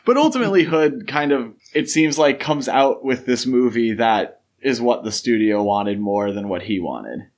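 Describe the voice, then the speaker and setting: A man's voice: mid-range voice, animated, normal speed, hardly any pauses. A man hosting a podcast, discussing film in a casual but detailed, explanatory way. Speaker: podcast host.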